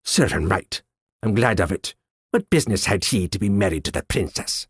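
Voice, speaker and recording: Guy doing an old miser in a storybook, breathy and all over the place, big high yelps then low grumbles. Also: normal speed, no echo. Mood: grumbling. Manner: excited exclamations swinging to hushed regret. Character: old male miser, swept up in childhood memories. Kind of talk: audiobook character voice.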